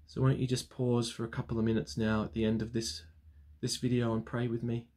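A man's voice: mid-range voice, completely flat delivery, darkish tone. A man in his thirties, a clergyman, talking to viewers on his weekly vlog and leading a solemn spoken prayer from his study. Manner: earnest, solemn, measured prayer cadence. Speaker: Anglican clergyman. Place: study with bookshelves, indoors. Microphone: webcam framing at desk.

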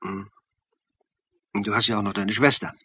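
A police instructor in a radio crime play. His voice is on the low side and rough-edged, and he delivers narration and dialogue in a dry, measured way. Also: steady tone, sharp tone, no room sound. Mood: calm, attentive. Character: calm male police academy lecturer narrating a murder case.

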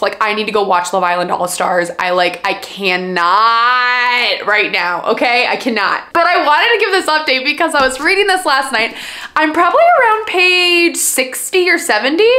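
A young woman with a Minnesota accent talking casually as a book vlogger, animated and gushing, with lots of fillers, in her living room. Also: fairly high pitch. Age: young adult. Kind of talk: casual chat.

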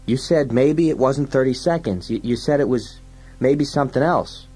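A man voicing an excitable, whiny wisecracking crook in an audiobook, complaining and stammering, aggrieved and panicky. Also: mid-range voice, moderate pace, breathy edge, voice pushed up, close to an everyday voice. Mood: argumentative. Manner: motor-mouthed, complaining, stammering. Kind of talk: dramatised audiobook science-fiction dialogue.

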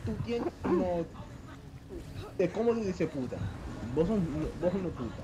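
Animated high-voiced man teasing in chat. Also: moderate pace, low-quality recording. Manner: playful, mixes Spanish and English. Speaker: gamer in voice chat.

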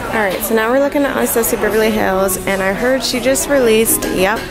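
A young woman vlogging as she browses a cosmetics store, chatty and excitable, reading product names aloud. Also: on the high side, fairly quick, poor audio. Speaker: beauty vlogger. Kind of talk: casual shopping vlog narration. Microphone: handheld phone camera. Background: loud store music.

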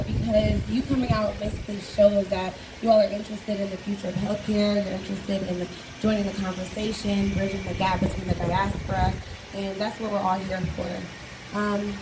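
A woman's voice: mid-range voice, background noise. A woman in her thirties, an event founder, speaking friendly and conversationally into a handheld mic at an outdoor garden event. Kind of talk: addressing an outdoor event crowd.

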